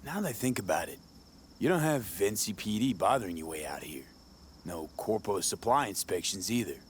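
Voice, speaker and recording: A wary, practical male mercenary, played by an actor, asking pointed questions in plain words. His voice sits fairly low, with faint background noise under the game dialogue. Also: animated, brisk, close to an everyday voice. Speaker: game protagonist.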